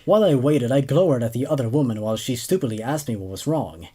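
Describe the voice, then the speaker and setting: A man's voice: mid-range voice, expressive, quick pace, warm tone, slightly rough. A man in his twenties hosting a horror story show, reading aloud and chatting casually about the tales. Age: 20s. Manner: hesitant, repeats words when commenting. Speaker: host and narrator of horror stories.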